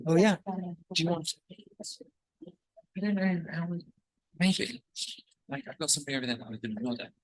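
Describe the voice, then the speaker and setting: Brief: husky, somewhat high-voiced man, offhand casual chat, dry sound. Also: expressive, normal speed, pauses often, no echo, poor audio.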